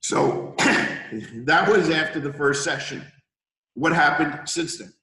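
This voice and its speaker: A middle-aged man with a hoarse voice from a cold, hosting an interview as a healer and explaining things at length with analogies. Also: on the high side, lively intonation, slow pace, pushed and bright, echoey room, rough recording. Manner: confident, lecturing with analogies. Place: room in Thailand.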